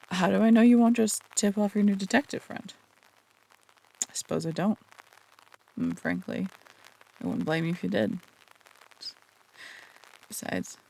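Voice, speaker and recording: A performer playing a flirtatious, teasing phantom thief in an intimate roleplay audio drama, breathy and coy, with casual, earnest turns. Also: medium pitch, darkish tone, heavily acted, low-quality recording.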